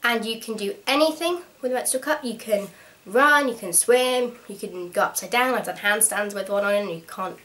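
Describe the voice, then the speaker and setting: A woman's voice: fairly high pitch, normal speed, few pauses, a bit bright. A young woman with a slight cold, frankly and practically explaining a product in a casual home vlog, with plenty of ums. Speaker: vlogger.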